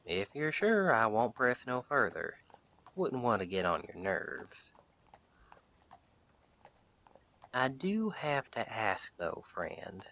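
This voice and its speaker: A man playing a friendly wandering Western sheriff in an audio roleplay, chatting to the listener in a folksy, wry, unhurried way. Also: fairly low pitch, fairly bright tone, a little hiss or hum, exaggerated voice. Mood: kindly, curious. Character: friendly wandering Western sheriff, easygoing drifter, dryly humorous.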